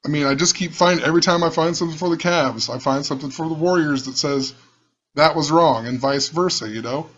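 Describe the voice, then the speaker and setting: Man, rough voice, somewhat high, rambling musing delivery, dull lo-fi sound. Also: brisk, a bit bright. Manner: musing, rambling, repeats himself. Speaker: channel host. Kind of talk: casual screen-share commentary.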